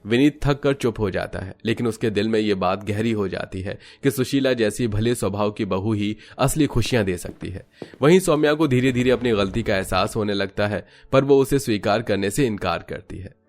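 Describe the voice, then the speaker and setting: A man's voice: fairly low pitch, moderate pace. A man giving scripted, melodramatic narration for a cartoon family story.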